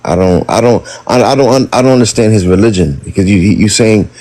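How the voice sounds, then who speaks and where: A man's voice: fairly low pitch, quick pace, mellow. A man in an online debate who stammers and repeats words as he answers. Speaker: debate participant.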